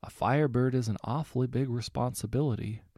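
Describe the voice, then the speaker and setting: A man voicing a grave, deadpan middle-aged father who jokes dryly. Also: mid-range voice, a little fast, slightly rough, no room sound, clean studio sound, voice pushed up.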